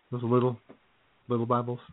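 A man co-hosting a comedy radio show in casual, sardonic banter with crude humour. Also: mid-range voice. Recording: narrow phone-like audio.

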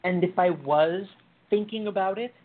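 A man acting in a radio play as a despondent young man with a husky voice, hesitant and defensive, sometimes stammering. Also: sits high, moderate pace. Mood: defensive, startled. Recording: tinny, phone-like narrow-band audio.

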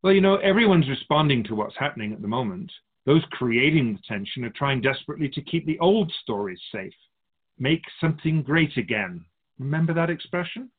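Man playing a lively, husky otherworldly soul keeper. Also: mid-range voice, phone-quality sound. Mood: amused, musing. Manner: measured, philosophical, musing, addressing humanity as an outsider. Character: wise, patient otherworldly keeper of souls, amused and reassuring. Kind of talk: radio drama dialogue.

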